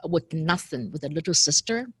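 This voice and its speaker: A woman's voice: low voice, expressive, normal speed, fairly bright tone, slightly rough, no room sound. A woman in her seventies from Hong Kong, an immigration lawyer, earnestly giving a recorded talk that works through a list of points and questions. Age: 70s.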